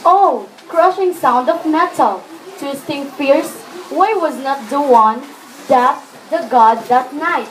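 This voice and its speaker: A woman's voice: sits high, animated, slow, noisy background. A female student reciting scripted narrative lines.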